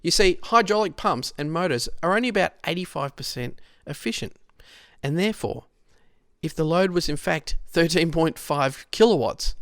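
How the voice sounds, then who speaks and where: An Australian man reading a scripted historical narration in a measured, explanatory way. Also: a little high, dry recording, studio quality.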